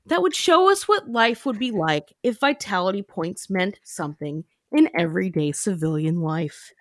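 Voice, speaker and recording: Woman host, casual chat, self-deprecating humor, fairly high voice, dry sound. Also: no echo. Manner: chatty, self-deprecating, laughing often. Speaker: podcast host.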